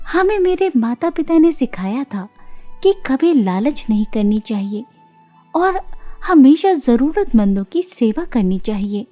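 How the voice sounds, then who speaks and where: High-voiced, earnest kind elder sister played by a woman. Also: mellow, full character voice. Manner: earnest, reassuring.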